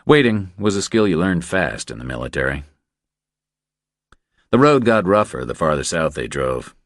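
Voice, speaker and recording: A man reading a crime thriller as an audiobook narrator, in measured, deliberate scripted narration. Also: deep voice, very animated, dry recording, clean studio sound.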